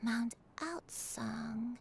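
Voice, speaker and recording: A woman voicing a gentle, troubled game character who has lost her memories, speaking softly and haltingly. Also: on the high side, gravelly, heavily acted. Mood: wistful, apologetic. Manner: hesitant, halting, soft-spoken. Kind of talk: video game voice acting.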